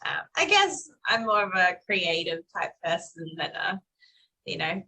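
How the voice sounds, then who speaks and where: A young woman with an Australian accent tinged by South Africa, talking in a clear, bright and forceful voice. She chats loosely and laughs often as a guest on a video call. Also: fairly low pitch, very animated, a little slow, poor audio. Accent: Australian with South African influence. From Perth, Western Australia. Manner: chatty, laughs often, frequent fillers. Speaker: interview guest. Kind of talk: casual conversational interview.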